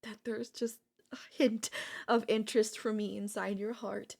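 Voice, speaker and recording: A woman's voice: mid-range voice, flat delivery, normal speed, creaky, darkish tone. A woman playing an obsessive, lovestruck and gleefully sinister older sister in an ASMR audio roleplay. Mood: smitten, coaxing.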